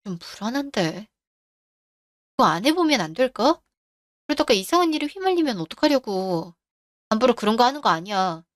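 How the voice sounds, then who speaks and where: A woman's voice: on the high side, big pitch swings, normal speed, pauses often, no room sound, studio quality. A woman voicing a cautious, worried wife who keeps questioning her husband, in a radio drama. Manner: anxious, nagging questions.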